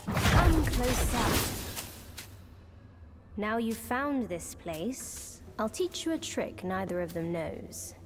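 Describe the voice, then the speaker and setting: A woman playing an enigmatic, teasing mentor in a game, speaking in a measured, cryptic way. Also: a little high, expressive, background noise. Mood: cryptic, knowing. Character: enigmatic, teasing female mentor with mystical knowledge. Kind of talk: dramatic game voice acting.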